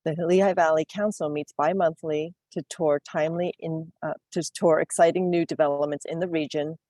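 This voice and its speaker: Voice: medium pitch, fairly even intonation, normal speed, dark tone, dry recording. A council co-chair welcoming a webinar audience and introducing the program politely. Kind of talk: webinar hosting.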